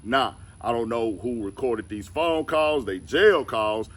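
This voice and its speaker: An American man hosting casual online gossip commentary, speaking in an emphatic, slangy way. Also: mid-range voice.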